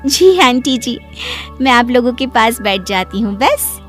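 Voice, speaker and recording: A woman's voice: fairly high pitch, very animated, measured pace, a bit bright, faint music behind. A woman voicing a timid, apologetic young daughter-in-law in a cartoon moral story, in a pleading, whiny way. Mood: polite, sheepish.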